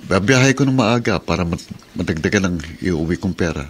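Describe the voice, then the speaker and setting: A man's voice: low voice, lively intonation, fairly quick, a little hiss or hum. A man acting in a radio drama as a humble, weary driver and family man, plain-spoken and concerned. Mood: apologetic, reassuring. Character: weary, humble working-class husband and father, a driver.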